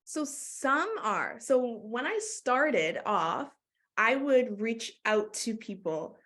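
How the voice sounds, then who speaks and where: A woman from Canada, talking casually as a guest in an interview, full of "like" fillers and quick laughs. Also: a little high, expressive, a bit bright. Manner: chatty, many "like" fillers, laughs often. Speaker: guest, doula.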